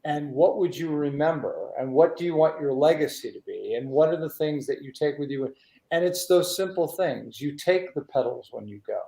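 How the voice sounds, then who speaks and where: Higher-voiced man hosting, in a roomy space. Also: moderate pace, vocal fry, warm tone. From United States. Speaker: talk show host. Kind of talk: casual interview chat. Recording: roomy echo.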